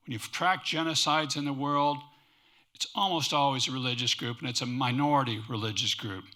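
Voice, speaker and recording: A man's voice: mid-range voice, brisk, bright, forceful tone. A man speaking as a panelist in a discussion before an audience, conversational and emphatic, often restarting his sentences.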